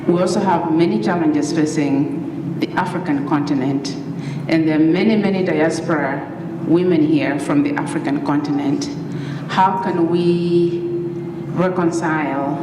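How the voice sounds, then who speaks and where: Audience member, woman, low voice, earnest question, picked up from far off in a hall. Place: conference hall. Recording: distant echoey hall with noise.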